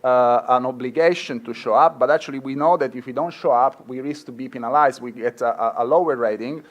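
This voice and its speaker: A man's voice: medium pitch, fairly quick, dull audio. Italian-accented man giving a conference talk. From Italy. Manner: earnest, with frequent fillers and restarts. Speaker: law professor.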